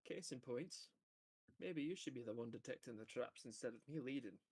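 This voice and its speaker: A man narrating as game master of a tabletop role-playing game, casual and jokey, with a big booming voice. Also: a little fast, darkish tone, breathy edge.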